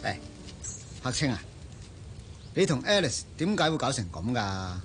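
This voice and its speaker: Animated, pushy rich Cantonese bachelor. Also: male voice, mid-range voice, measured pace, soft background music. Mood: sceptical. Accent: Hong Kong Cantonese. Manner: knowing, insistent. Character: breezy, pushy rich bachelor and old friend. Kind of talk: Cantonese radio drama acting.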